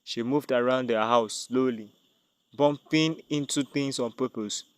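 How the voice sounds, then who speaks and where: A man's voice: medium pitch, fairly even intonation, unhurried, smooth, dry recording. A man narrating a dramatic scripted tale as a storyteller.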